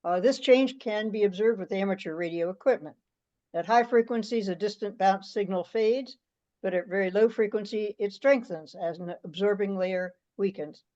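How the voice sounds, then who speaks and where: A woman's voice: medium pitch, normal speed, dark tone. A woman reading a draft aloud and talking it over in an online meeting, on conference-call audio. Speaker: meeting participant presenting a draft letter. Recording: slightly dull video call audio.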